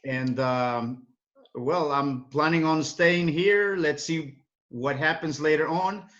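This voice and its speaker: Higher-voiced Ecuadorian man, muffled audio. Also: slow pace, a bit bright. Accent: Ecuadorian Spanish. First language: Spanish. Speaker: interview guest, English teacher. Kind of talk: casual interview. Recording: dull, lo-fi call audio.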